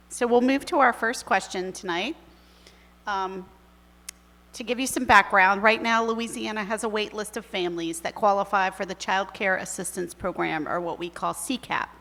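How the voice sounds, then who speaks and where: Moderator, woman, mid pitch, measured delivery, echoing meeting-room sound. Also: fairly bright tone. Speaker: forum moderator. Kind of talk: reading questions.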